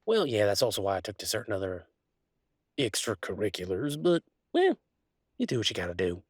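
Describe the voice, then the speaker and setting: A man's voice: on the low side, very animated, fairly quick, breathy edge, dry recording. An easygoing werewolf boyfriend, acted by a man in an intimate audio roleplay. He rambles in a folksy, affectionate, teasing way. The sound is close and dry. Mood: reflective, reassuring. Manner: folksy, rambling, playful drawl with colloquial turns. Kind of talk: intimate one-sided roleplay audio, conversational acting.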